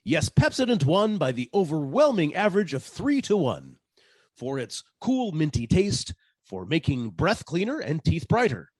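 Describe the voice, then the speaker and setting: A man's voice: fairly high pitch, wildly swooping pitch, unhurried, breathy edge, dry recording, full character voice. A man acting as an upbeat 1940s radio commercial announcer, reading an advertisement in an emphatic, salesmanlike way. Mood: upbeat, selling.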